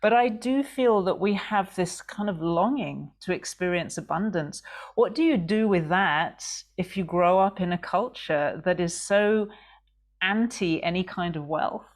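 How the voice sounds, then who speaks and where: A woman hosting a podcast, asking a guest curious, reflective questions in a relaxed conversation. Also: on the low side, unhurried. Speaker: podcast host.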